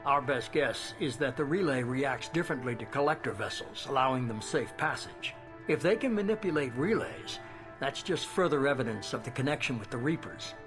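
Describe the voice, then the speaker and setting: A controlled, persuasive man in middle age played by an actor speaks as the calculating head of a secret organisation in a game drama. His delivery is measured, with clear music underneath. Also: medium pitch, lively intonation, natural voice. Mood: calm, calculating. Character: shadowy, calculating middle-aged male leader of a covert organisation. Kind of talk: video game dramatic acting. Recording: music behind the voice.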